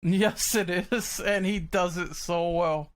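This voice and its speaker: A man's voice: high-pitched, steady tone, takes it slowly. A man talking casually as a panelist in a podcast group discussion.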